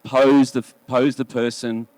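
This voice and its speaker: A man's voice: mid-range voice, little pitch movement, unhurried, a little mellow, no echo, poor audio. An Australian man in his late forties talking casually as a photo critic, chatty and often checking in with his colleagues. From Melbourne, Australia. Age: late 40s. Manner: chatty, rhetorical questions to colleagues. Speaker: wedding photographer and competition judge. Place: booth at a trade show.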